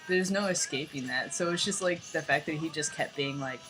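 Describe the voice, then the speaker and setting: A young man with a light, fairly high voice talking in a rambling, casual vlog, full of "like" and "you know". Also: a little fast, music in the background, low-quality recording. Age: young adult. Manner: rambling, filler-heavy, lots of "like" and "you know". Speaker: vlogger.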